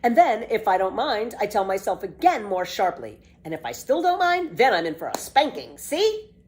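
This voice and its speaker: A woman's voice: mid-range voice, very animated, moderate pace, a little mellow, voice pushed up, natural voice. A woman voicing a bold, boastful little girl in a storybook, with theatrical, emphatic, carefree delivery. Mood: breezy, cheerful. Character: bold, boastful, exuberant little girl telling tall tales. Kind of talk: children's storybook read-aloud.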